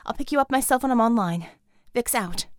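A woman voicing a brisk female tech operator giving punchy calls over battle comms in an audiobook. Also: fairly high pitch, animated, no room sound, studio quality, lightly acted. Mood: brisk, focused. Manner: brisk, punchy radio-call delivery.

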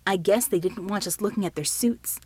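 A woman's voice: medium pitch, brisk, darkish tone, a little hiss or hum, natural voice. A woman voicing a protective, firm military man in an audiobook story. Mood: concerned, firm. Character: steady protective military male helper, quiet but commanding.

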